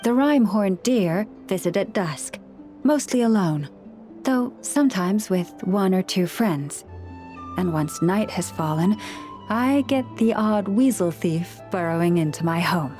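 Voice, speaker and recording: A woman voicing a gentle young Moon Chanter in a video game, speaking in a calm, measured, earnest way as a caring counselor to people and animals. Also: medium pitch, faint music behind, natural voice.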